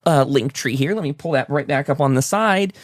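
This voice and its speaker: Higher-voiced man hosting, effusive and stammering. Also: a little fast, no room sound, clean studio sound. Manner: effusive, stammering with repeated words. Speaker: talk show host. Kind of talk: casual interview chat.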